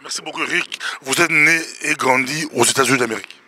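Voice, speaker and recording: A man's voice: mid-range voice, fast, bright, forceful tone, slight background noise. A man hosting an on-location interview, putting questions to guests as the interviewer. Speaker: interviewer and host.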